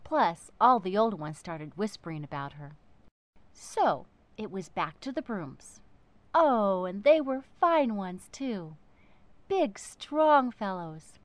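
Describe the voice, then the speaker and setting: A woman reads a children's story aloud with a very lively, swooping pitch. Her delivery is theatrical, leaning on key words and pausing for drama. Her voice sits at a medium height for a woman. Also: no room sound. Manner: theatrical, dramatic emphasis and pauses. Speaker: narrator reading a children's book. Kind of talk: expressive storybook narration.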